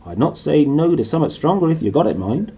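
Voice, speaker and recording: A man's voice: on the high side, sing-song, fairly quick, dark tone, breathy edge, voice pushed up, exaggerated voice. A man voicing a friendly, blunt giant gatekeeper in a storybook, with folksy speech. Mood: hearty. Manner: folksy, dialect grammar, blunt and jolly.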